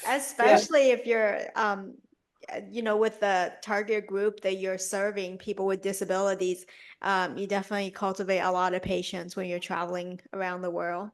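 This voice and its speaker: A woman hosts a podcast conversation, curious and affirming as she responds and poses questions. Her voice sits at a middle pitch, clear and close to the mic. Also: little pitch movement, normal speed. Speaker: podcast host. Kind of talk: interview chat.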